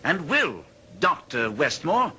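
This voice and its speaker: An actor playing a stern, accusing manor gentleman in a radio drama, speaking formally and with commanding deliberation. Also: on the high side, wildly swooping pitch, slow, heavily acted. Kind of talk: old-time radio drama acting. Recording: noisy old radio drama recording.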